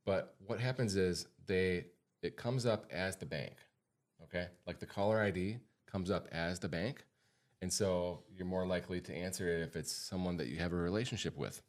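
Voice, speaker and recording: Low-voiced American man, casual podcast host. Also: normal speed. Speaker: podcast host and financial advisor.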